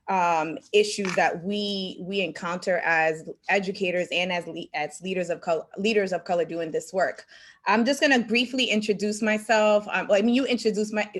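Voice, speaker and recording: A woman's voice: mid-range voice, fairly bright tone. A woman from El Salvador whose first language is Spanish, speaking as a CEO on a video panel, hesitant with many fillers and restarts. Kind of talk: virtual panel discussion. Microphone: video call.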